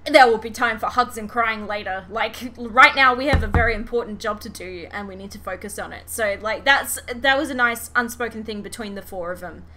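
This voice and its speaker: Woman, podcast host, casual animated chat, swearing, forceful bright tone, noisy recording. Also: a little high, a little fast, hardly any pauses. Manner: animated, sweary, giggly retelling. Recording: clear background noise.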